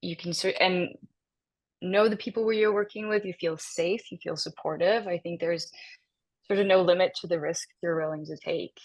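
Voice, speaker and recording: Woman actor in her 30s, lowish voice, chatty and laughing, lots of ums. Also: moderate pace, poor audio. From Denmark. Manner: animated, laughs often, many fillers and restarts. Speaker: actor, interview guest. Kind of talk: casual interview chat.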